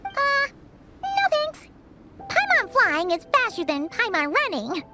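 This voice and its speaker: Super high squeaky little fairy girl, cheeky, swoopy voice, game cutscene over loud music. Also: slow pace, heavily acted. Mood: reluctant, smug. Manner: refers to self in third person, excitable. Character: tiny flying fairy-like girl companion, cheeky and childlike. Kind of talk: video game cutscene voice acting.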